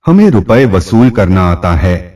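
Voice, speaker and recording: A menacing extortionist, put on by a male storyteller, calm and insistent in a storybook tale. The voice is low and husky, with slow, commanding delivery. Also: recorded from a distance, exaggerated voice. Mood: calm, threatening. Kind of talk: audio storybook dialogue.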